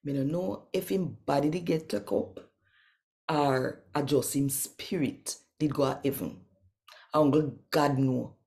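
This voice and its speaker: A Jamaican woman hosts a devotional reading in a deep-set, mellow voice. She takes her time, phrasing deliberately and addressing the listener directly, as if in conversation. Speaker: host and scripture reader.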